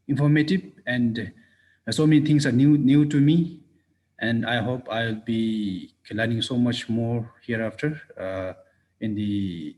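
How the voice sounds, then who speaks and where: A man from Bhutan introducing himself as a researcher, with many pauses and fillers. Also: medium pitch. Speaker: researcher, workshop participant. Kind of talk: self-introduction on a video call.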